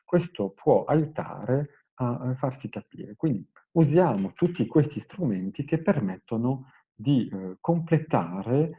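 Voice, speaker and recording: A man giving an explanatory language lesson as a teacher, in a measured, hesitant way, searching for his words. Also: mid-range voice, lively intonation, warm tone, slightly rough, muffled recording. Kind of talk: explanatory lesson, semi-improvised.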